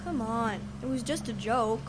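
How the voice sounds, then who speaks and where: A woman's voice: fairly high pitch, moderate pace, very noisy, natural voice. A young female-sounding performer playing a cheeky, impulsive, clueless boy in an audio drama. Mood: reckless excitement. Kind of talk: youth audio drama acting.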